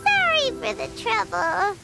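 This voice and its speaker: A woman voicing a tiny, blunt, childlike female fairy companion in a video game, with a squeaky voice. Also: very animated, unhurried, vocal fry, heavily acted. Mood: apologetic. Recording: music behind the voice.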